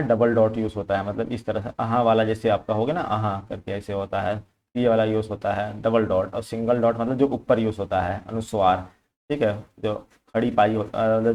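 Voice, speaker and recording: A man's voice: lowish voice, a little mellow. A man working through exam questions as a coaching teacher, explaining informally, repeating points and mixing in English terms.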